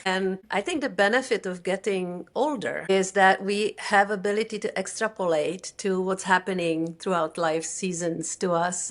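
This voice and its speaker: Czech-accented woman, thoughtful interview guest. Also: lowish voice. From Czech Republic. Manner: thoughtful, searching for words, careful phrasing. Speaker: guest, executive coach. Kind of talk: reflective interview conversation.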